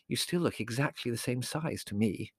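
A man voicing a weary, sensible middle-aged mother with a hesitant, gently exasperated manner. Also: mid-range voice, normal speed, husky, dry recording, voice pushed up.

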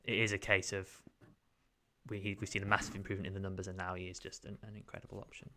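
A man's voice: sits low, level tone, fast talker, pushed and bright. A man co-hosting a casual podcast, giving confident, punchy advice. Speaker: podcast co-host.

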